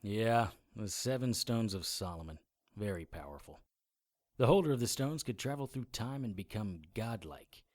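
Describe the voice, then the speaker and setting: A man's voice: on the low side, expressive, moderate pace, darkish tone, close to an everyday voice. Commanding adventurer hero, played by a man, matter-of-fact. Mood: grave, explaining. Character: brisk, commanding male occult adventurer with a sword. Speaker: lead actor and narrator. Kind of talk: audio drama acting and first-person narration.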